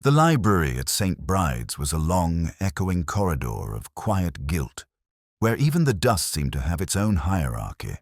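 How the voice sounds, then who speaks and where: A man reading scripted literary detective fiction as narrator, in a measured, formal and brooding manner. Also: deep voice, very animated, dry recording, clean studio sound.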